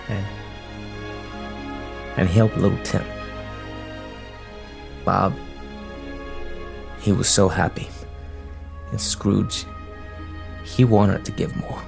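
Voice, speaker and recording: A man's voice: fairly low pitch, creaky. A man playing a folksy storyteller who narrates a Christmas tale conversationally in an audio drama. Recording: music under the voice.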